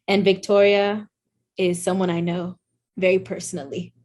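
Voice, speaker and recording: A woman in her twenties with a Ghanaian accent, talking as a guest in a relaxed, reflective way with frequent ums. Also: lowish voice, moderate pace. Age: 20s. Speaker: guest, incoming medical student. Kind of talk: casual interview chat.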